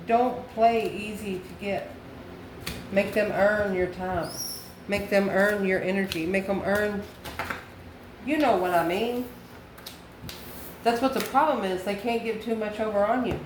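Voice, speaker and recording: A woman's voice: medium pitch, fairly even intonation, a little slow, long pauses. Woman reading tarot in echoey, noisy room. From United States. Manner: musing aloud in short, repeated phrases with rhetorical questions. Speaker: tarot reader, channel host. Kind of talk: intuitive tarot card reading, addressing the viewer. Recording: echoey room with clear background noise.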